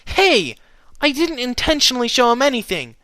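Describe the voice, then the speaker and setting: A man's voice: extremely high pitch, big pitch swings, moderate pace, pushed and bright, no room sound, voice pushed up, full character voice. A man voicing a naive, flustered female unicorn pony in a story, stuttering and easily offended. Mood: miffed. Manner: stuttering, indignant.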